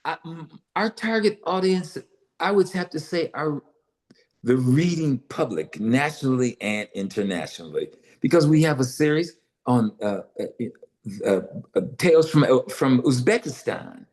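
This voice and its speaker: An older man from Los Angeles, a guest author and publisher, answering interview questions with many pauses and fillers. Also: medium pitch, expressive, darkish tone. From Los Angeles, United States. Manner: halting, many "uh" fillers and restarts. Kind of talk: conversational interview answers, then reading aloud.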